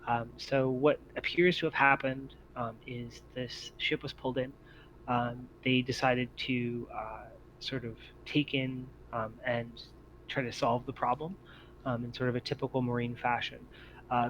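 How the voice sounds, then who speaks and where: A man working as game master, improvising tabletop game narration with halting, hesitant phrasing. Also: medium pitch, fairly bright tone. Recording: dull lo-fi audio, faint noise.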